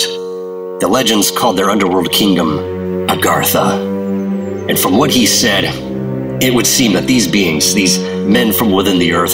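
A weary secret operative with a very low, heavy voice, played by a man. He speaks gravely and confidingly, like someone carrying a burden. Loud music score swells behind him. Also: close to an everyday voice. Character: gruff secretive male government operative, middle-aged. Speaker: secret agent Operative-132. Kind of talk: radio drama acting.

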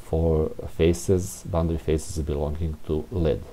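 Low, mellow, slow-talking man thinking aloud. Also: level tone. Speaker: presenter of a programming tutorial. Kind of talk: informal unscripted screencast walkthrough of code. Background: room heater hiss.

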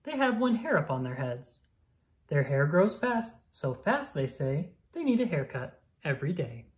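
A woman reading a rhyming children's picture book aloud in a playful, sing-song, expressive manner. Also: low voice, normal speed.

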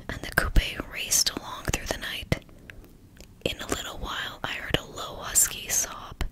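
A woman reading a novel aloud in a steady, hushed whisper. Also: poor audio. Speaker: narrator.

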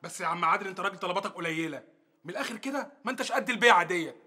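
A man's voice: high-pitched, rapid-fire, bright, forceful tone, slightly rough, full character voice. A man playing a brash boastful Egyptian dealer. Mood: cocky. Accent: Egyptian Arabic. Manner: cocky, blustering.

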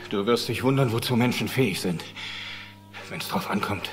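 A male actor playing a calm, kindly middle-aged paleontologist in a film dub, speaking in a measured, quietly dry way. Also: on the low side, faint music behind, close to an everyday voice. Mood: calm, reassuring. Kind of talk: German film dubbing dialogue.

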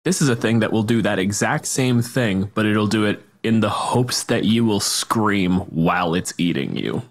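Low-pitched male podcast co-host from Canada. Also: lively intonation, normal speed. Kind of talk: podcast discussion and scripted reading.

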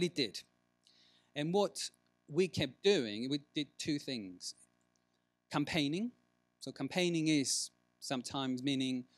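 Male conference speaker, mid-range voice, emphatic and deliberate, closely recorded with little room sound. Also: mid-range voice, dry recording. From Greece. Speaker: researcher giving a conference talk. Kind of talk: conference presentation with discussion. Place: large conference room, at a lectern.

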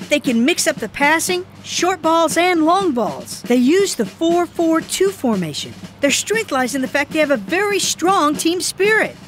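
A woman's voice: high-pitched, a little hiss or hum. A woman voicing an earnest boy footballer in a cartoon, explaining things seriously to his teammates. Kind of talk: animated cartoon dialogue. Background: background music.

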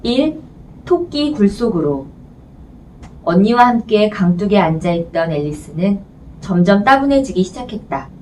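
A woman's voice: medium pitch, big pitch swings, moderate pace, darkish tone. Woman reading a storybook, slightly distant mic. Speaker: audiobook reader. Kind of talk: scripted storybook narration. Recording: distant mic, faint background noise.

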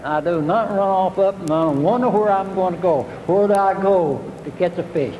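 A man's voice: sits high, moderate pace, muffled recording, a little hiss or hum. Folksy older man in an echoey hall. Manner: homespun, repetitive, plainspoken. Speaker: fishing instructor. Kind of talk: folksy seminar talk.

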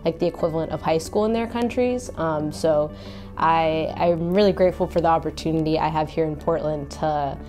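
A young college student from Maine speaks thoughtfully to camera, her sentences full of ums and likes. Her voice is low and mellow. Faint music sits in the background. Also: normal speed. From Maine, USA. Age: young adult. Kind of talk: personal testimony to camera. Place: indoor studio against a light wall. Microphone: lapel mic.

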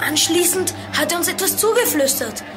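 An excitable, dramatic kid with a very high voice. It is a young detective in a children's radio play, with music behind. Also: female voice, brisk. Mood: shaken.

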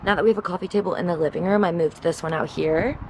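A young woman with a twang talks to her camera while she moves around her kitchen and balcony. Her voice sits a touch low, and she chats in an upbeat way, full of fillers. A steady hiss of background noise runs under the recording. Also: fast talker, roomy sound. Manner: chatty, upbeat, heavy use of "like" and "y'all". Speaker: vlogger. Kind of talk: casual vlog chat to camera. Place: home kitchen and apartment balcony.

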